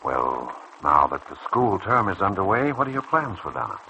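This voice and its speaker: A man playing a kindly, principled senior doctor in a mid-century radio soap opera, speaking in a measured, earnest way. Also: low-pitched, sing-song, pushed and bright, full character voice. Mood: earnest, concerned. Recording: faintly noisy old radio recording.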